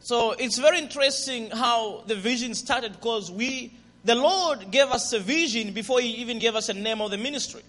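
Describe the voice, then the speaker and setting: Lively Zambian-accented man preaching. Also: extremely high pitch, moderate pace. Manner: lively and friendly, with call-and-response and laughter. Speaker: visiting missionary pastor. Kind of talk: guest sermon and ministry testimony. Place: church sanctuary. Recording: reverberant church hall.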